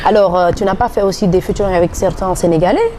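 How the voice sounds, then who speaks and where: A woman's voice: mid-range voice, fast talker. A woman interviewer, asking teasing, probing questions in casual talk. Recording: outdoor recording, traffic noise behind.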